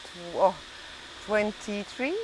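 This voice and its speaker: Middle-aged French-accented woman, halting speech over noise. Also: medium pitch, poor audio. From Belgium and southern France. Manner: halting, searching for words. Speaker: interview guest, hotel and restaurant owner. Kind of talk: casual conversational interview.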